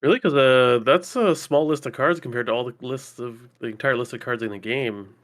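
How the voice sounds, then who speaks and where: A man with a middle-pitched voice talks casually as a podcast panelist. He feels his way through his sentences, hesitant and questioning. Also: a little fast, fairly bright tone. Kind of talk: casual chat.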